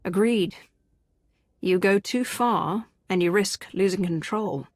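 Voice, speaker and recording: Cool, decisive heroine. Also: female voice, mid-range voice, slow pace, no room sound, clean studio sound. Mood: cool, probing. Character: cool, decisive female commander hero. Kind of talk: video game voice acting.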